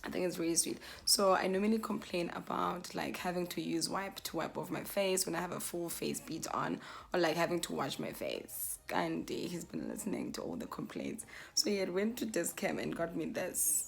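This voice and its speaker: A young woman talks casually through her makeup routine, voice rough and gravelly from flu. Her voice sits on the low side, and she explains each step in a chatty, self-deprecating way. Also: expressive, normal speed. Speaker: beauty vlogger. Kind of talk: casual makeup tutorial narration.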